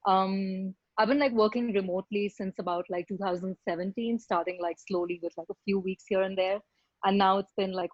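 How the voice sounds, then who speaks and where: A woman's voice: mid-range voice, dry recording. A woman from India speaking casually as a panelist, on dry, dull online call audio. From Bombay, India. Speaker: panelist, design director and teacher. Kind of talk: casual self-introduction on a panel.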